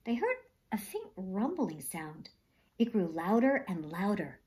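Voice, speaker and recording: A woman reading a children's story aloud with expressive, dramatic, emphatic narration. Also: mid-range voice, a little slow.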